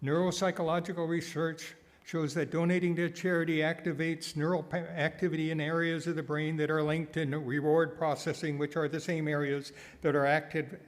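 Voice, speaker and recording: A man delivering a prepared sermon with wry asides, phrasing deliberately and repeating words for emphasis. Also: fairly high pitch, level tone. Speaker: guest speaker giving a sermon, nonprofit founder.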